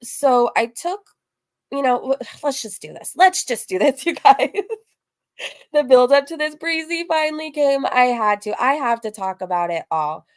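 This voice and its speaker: A woman's voice: sits high, expressive, moderate pace. A woman hosting a casual reaction livestream, chatty and gossipy, repeating phrases for emphasis as she comments and reads out messages. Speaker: online reaction channel host.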